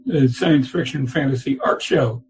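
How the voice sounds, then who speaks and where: A man in his eighties, a guest in a casual interview, speaking haltingly and pausing to search for details. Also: mid-range voice, a little mellow, a little hiss or hum, poor audio.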